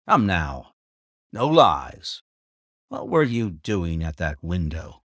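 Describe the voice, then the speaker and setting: A stern male baronet, as a male reader puts him on with a low, firm voice and blunt, commanding questions. His lines come loud and direct. He is an audiobook figure in a dry, close recording. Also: very animated, slow pace, no room sound, exaggerated voice.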